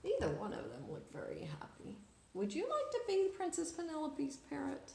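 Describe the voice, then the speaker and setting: A woman's voice: high voice, wildly swooping pitch, moderate pace, creaky, warm tone, a little hiss or hum. A woman reading a picture book aloud to children expressively, drawing out words and putting questions to her listeners. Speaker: storyteller, children's read-aloud host. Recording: echoey room.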